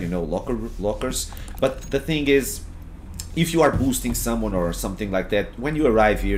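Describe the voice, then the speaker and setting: A young man with a Portuguese accent, speaking English as a second language, talks through a game tutorial from home. His voice sits low and he keeps a loose, casual pace, full of "uh" and restarts. Faint background noise runs under the recording. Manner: relaxed, halting, many fillers and restarts. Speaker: gaming tutorial creator.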